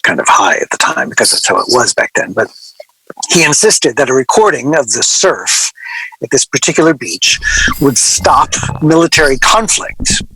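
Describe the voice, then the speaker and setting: A man playing a wry, middle-aged record-label veteran in a radio drama, reminiscing to an interviewer in a halting, digressive way. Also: mid-range voice, very animated, pushed and bright, dry recording, full character voice, rough recording. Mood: wry reminiscence. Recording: location recording with clear background noise.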